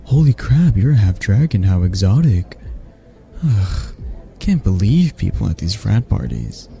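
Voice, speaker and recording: Guy playing a teasing half-dragon college dude, flirty asmr roleplay, low voice n kinda gravelly. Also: big pitch swings, mellow, faint music behind, full character voice. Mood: annoyed, sardonic. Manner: teasing, sardonic, unhurried. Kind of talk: intimate ASMR roleplay acting.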